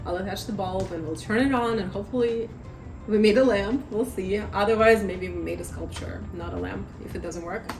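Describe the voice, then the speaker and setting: Chatty vlogger in her thirties, a bit raspy, mid pitch, over background music. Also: a little fast, a little mellow. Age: 30s. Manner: chatty, rambling, self-deprecating. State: slightly raspy, voice strained. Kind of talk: chatty sit-down vlog. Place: home, at a table.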